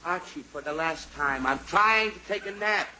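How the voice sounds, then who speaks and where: A man's voice: fairly high pitch, normal speed, pushed and bright. A man playing a grumpy, long-suffering middle-aged father who sputters and sighs with exasperation in an old-time radio comedy. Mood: weary, irritated. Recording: noisy old radio recording.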